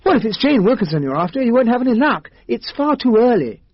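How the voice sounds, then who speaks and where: Radio drama: puzzled, loyal English gentleman, brisk talker with lively, exclamatory delivery and an English accent. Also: very high voice, husky, no echo, muffled recording, heavily acted. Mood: doubtful. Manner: bemused, questioning, exclamatory.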